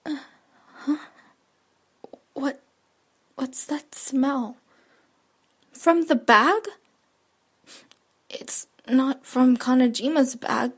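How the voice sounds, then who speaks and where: A woman's voice: sits high, drawn-out words, long pauses, slightly rough, heavily acted. A woman playing a troubled, pensive schoolgirl narrator in an audio drama. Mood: uneasy, tender. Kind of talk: audio drama acting with inner monologue.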